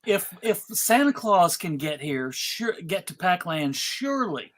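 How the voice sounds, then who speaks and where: A woman's voice: fairly low pitch, very animated, measured pace. A woman in her fifties chatting and joking casually as a panelist. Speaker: convention panelist.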